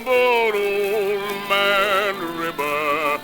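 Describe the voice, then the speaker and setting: A man singing a slow, solemn theatrical song in an old 1930s radio recording. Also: high-pitched, animated, music in the background. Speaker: singer. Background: musical accompaniment.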